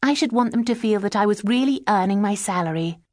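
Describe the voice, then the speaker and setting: A woman voicing a lively, proud young woman of modest means, speaking eagerly and with spirit. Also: mid-range voice, brisk, no echo, studio quality, pitched above the performer's own voice, close to an everyday voice.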